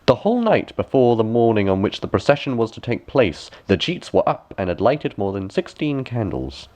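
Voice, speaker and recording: A man reading a fairy tale aloud as an expressive audiobook narrator. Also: mid-range voice, moderate pace. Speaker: audiobook reader.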